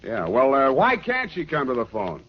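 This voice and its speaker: Expressive male voice, hard-boiled private eye, wry, clipped, 1940s radio play, dull recording. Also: medium pitch, normal speed, slight background noise. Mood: brusque, sardonic. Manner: dry, sardonic, clipped. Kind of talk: 1940s radio drama acting and narration. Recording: dull old radio recording, music behind.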